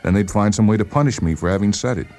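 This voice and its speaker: A man voicing a defensive, suspicious psychiatric patient with an insistent, halting delivery in a radio story reading. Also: lowish voice, a little mellow, lightly acted. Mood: earnest, bitter. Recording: voice over background music.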